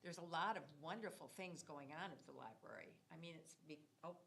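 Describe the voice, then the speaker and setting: A woman council member giving a committee report at a meeting, speaking loudly, as she says herself. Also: sits low, lively intonation, fairly quick, gravelly, slight background noise.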